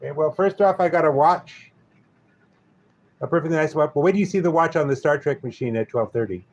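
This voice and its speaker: A man's voice: fairly high pitch, fairly quick, dull audio. A man from New York with a Brooklyn accent, chatting casually and joking with viewers on a live stream.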